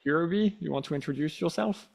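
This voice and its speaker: A middle-aged man with a French accent, the company founder, giving a relaxed, self-deprecating town hall presentation with frequent fillers. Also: fairly high pitch, fast talker, vocal fry.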